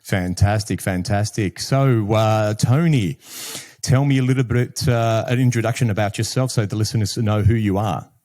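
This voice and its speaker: A man's voice: fairly low pitch, moderate pace, a little mellow, dry recording, clean studio sound. A man hosting a podcast, introducing his guest and putting questions to him. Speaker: podcast host.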